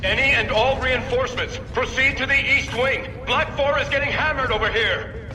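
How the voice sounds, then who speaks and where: A man's voice: very high-pitched, moderate pace, music in the background. A man playing a desperate soldier calling for help in a video game. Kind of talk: video game radio dialogue. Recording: echoing, long reverb transmission.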